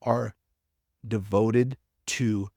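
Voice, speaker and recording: A man's voice: fairly low pitch, steady tone, extremely slow, a little mellow, no echo, clean studio sound. A man hosting a casual podcast, rambling off on tangents and filling his talk with "like" and "you know". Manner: rambling, tangents, filler-heavy. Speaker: podcast host.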